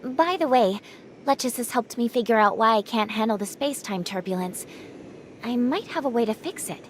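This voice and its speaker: A woman as a calm, fairly high-voiced angel. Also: normal speed, slight background noise, natural voice. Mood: calm, reassuring. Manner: calm, reassuring. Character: gentle, self-assured young female angel. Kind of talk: anime game voice acting.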